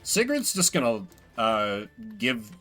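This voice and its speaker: A man's voice: medium pitch, wildly swooping pitch, takes it slowly, fairly bright tone, soft background music. A man giving casual, wry and playful gameplay commentary as a streamer, swearing now and then. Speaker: video game streamer.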